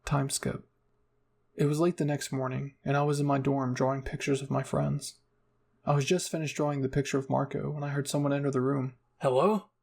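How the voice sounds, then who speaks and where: Husky, creaky man reading a story. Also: medium pitch, monotone, brisk, a little mellow. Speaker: fan fiction reader. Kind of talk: scripted first-person story narration, ASMR roleplay reading.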